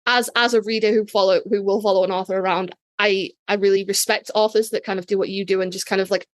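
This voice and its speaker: A woman's voice: mid-range voice, little pitch movement, fast talker, no echo. A woman co-hosting a podcast, talking casually and enthusiastically, with light swearing. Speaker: podcast co-host.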